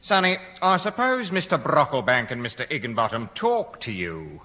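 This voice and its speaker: Officious, animated police sergeant. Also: male voice, medium pitch, moderate pace. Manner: formal, officious. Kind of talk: 1970 radio sitcom acting. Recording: reverberant old radio broadcast.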